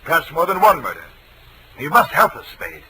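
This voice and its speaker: A man's voice: fairly high pitch, huge pitch swings, moderate pace. A man playing a grave, evasive asylum doctor in 1940s radio style, speaking formally and with dramatic emphasis. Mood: grave, urgent. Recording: noisy vintage radio recording.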